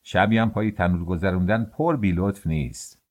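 A man's voice: low voice, darkish tone. A man voicing an old male bakery worker over sixty, speaking with a slack, drawling Kermanshah accent and a grumbling manner.

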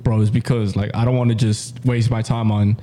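A man's voice: lowish voice, level tone, a little fast, dark tone, a little hiss or hum. A young man in casual podcast chat with friends, laid-back and laughing easily. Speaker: podcast co-host.

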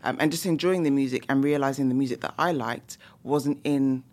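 A woman with a London accent, a guest musicologist talking in a casual, animated way with lots of fillers and laughs. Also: a bass voice, brisk. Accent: London English. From London, England. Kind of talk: casual interview chat.

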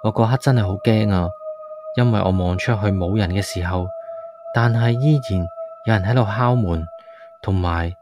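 A man's voice: lowish voice, normal speed, lightly acted. A man playing a frightened tenant, narrating a horror radio drama in an anxious, suspenseful way. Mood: uneasy. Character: frightened male tenant recounting his haunting. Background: background music.

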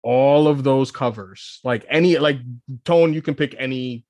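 An American man chatting casually on a podcast, in a halting way. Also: medium pitch, a little mellow, no echo. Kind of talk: casual chat.

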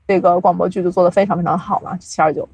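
A woman's voice: fairly low pitch, fairly even intonation, fast talker, darkish tone, slightly rough, no room sound. Woman explaining things in casual chat. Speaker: guest, audio drama producer.

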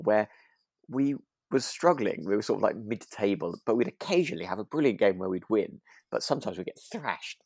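Low-pitched British man, dry close sound. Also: darkish tone, no room sound. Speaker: podcast co-host and actor. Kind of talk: relaxed casual discussion.

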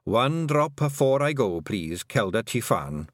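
A man's voice: sing-song, dry recording, clean studio sound. A man voicing a tiny, dying, stubborn old fairy clan mother, in a storybook reading. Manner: broad brogue, raised pitch, slow weary phrasing. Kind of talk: audiobook character voice.

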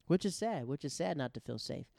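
Mellow-voiced man chatting casually. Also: a little high, animated, a little fast, vocal fry, dry recording. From grew up abroad in the Netherlands and Japan. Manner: conversational, often acts out quoted remarks. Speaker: host. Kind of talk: casual personal monologue on social issues.

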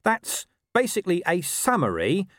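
An earnest, good-natured young man, acted by a male narrator in a storybook reading. He speaks politely and straightforwardly, pitched up with lively movement. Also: normal speed, dry recording, studio quality, heavily acted. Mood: earnest, puzzled.

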